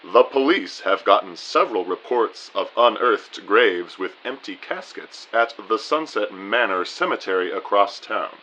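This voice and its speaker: A man playing a jovial, courteous agency boss with a booming voice and pompous, formal phrasing in an audio drama. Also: sharp tone, full character voice, rough recording.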